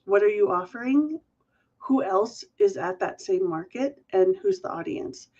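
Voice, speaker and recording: A woman's voice: mid-range voice, big pitch swings, measured pace, vocal fry, a little mellow, lo-fi sound. A woman hosting a live question-and-answer stream, nasal and congested from a cold, chatting through viewer questions. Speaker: host.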